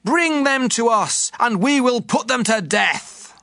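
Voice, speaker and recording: An actor playing a bold, fierce ancient Israelite soldier, declaiming forcefully in an audio Bible drama. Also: male voice, very high-pitched, expressive, takes it slowly, creaky, soft background music, exaggerated voice. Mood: vengeful.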